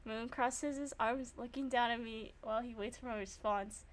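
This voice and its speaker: High-pitched young woman streaming a game. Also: moderate pace. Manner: self-deprecating, animated, swears freely. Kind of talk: casual gaming commentary mixed with reading narration aloud.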